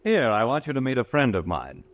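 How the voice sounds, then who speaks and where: A man's voice: mid-range voice, very animated, a little fast, natural voice. An actor playing a dead man's ghost returned to taunt his killer, smug and cold, in an old radio drama. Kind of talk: 1940s radio acting.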